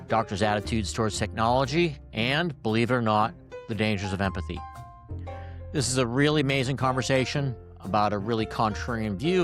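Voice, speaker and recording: A man who hosts a podcast and works as a doctor, talking to his guest in a friendly, conversational way with frequent fillers. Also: medium pitch. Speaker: podcast host and physician. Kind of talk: podcast interview.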